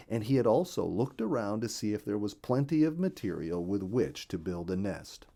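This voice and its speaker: A male storyteller reads a children's animal story with a gentle, expressive delivery. His voice is mellow rather than bright, and his pitch rises and falls a great deal while it stays in a middle range. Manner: gentle, expressive reading with a lot of rise and fall. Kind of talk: scripted storybook narration for children.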